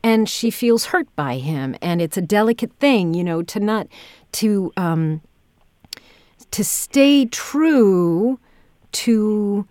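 A woman director hosting a casual podcast interview, thinking aloud in halting phrases. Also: mid-range voice, lively intonation, darkish tone, no echo, studio quality. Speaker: audio drama director and interviewer.

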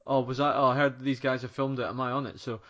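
A man's voice: medium pitch, level tone, fast, a bit bright, muffled recording. A man co-hosting a running podcast and interviewing a guest, chatty and rambling in casual chat.

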